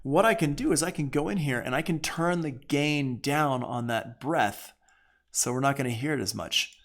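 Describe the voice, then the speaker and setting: A man giving a casual software tutorial as a music production teacher, informal and conversational, thinking aloud. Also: mid-range voice, normal speed.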